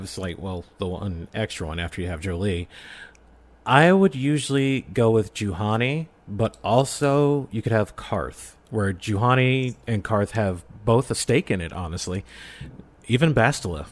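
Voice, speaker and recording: A man talking casually as a podcast guest, explaining his views in an earnest, rambling way. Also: fairly low pitch. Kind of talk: casual fan discussion.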